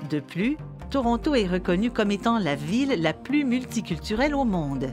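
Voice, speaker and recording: A woman giving scripted documentary narration in a measured, even reading. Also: medium pitch. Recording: voice-over with clear music underneath.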